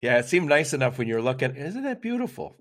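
A man's voice: fairly high pitch, big pitch swings, fast, fairly bright tone. Joking male podcast host. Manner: joking, informal questions. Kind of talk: casual interview chat.

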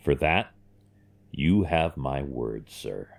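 A man playing a composed, earnest and respectful businessman in an audio drama, speaking in a measured, polite way. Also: very deep voice, lively intonation, creaky, full character voice.

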